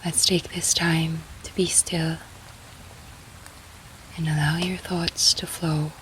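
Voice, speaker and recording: A woman guides a sleep meditation in a soothing, breathy half-whisper. Her voice sits slightly low and stays very even, with an unhurried, slow delivery. Soft rain plays faintly behind her. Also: slight background noise, low-quality recording.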